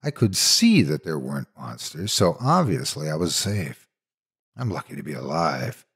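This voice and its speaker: A man narrating a scripted horror story in a dramatic, measured, suspenseful manner. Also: very deep voice, big pitch swings, a bit bright, breathy edge.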